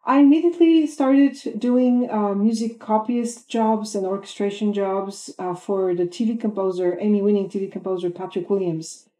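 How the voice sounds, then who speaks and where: A woman's voice: mid-range voice, normal speed, hardly any pauses, mellow. A woman in her fifties from Bulgaria, a film composer answering interview questions thoughtfully with many ums and uhs.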